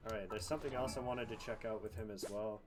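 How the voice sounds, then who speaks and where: Low-voiced man streaming a game. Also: steady tone, fast. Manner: casual, profane, thinking aloud. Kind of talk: casual live gameplay commentary. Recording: music behind the voice. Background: game music.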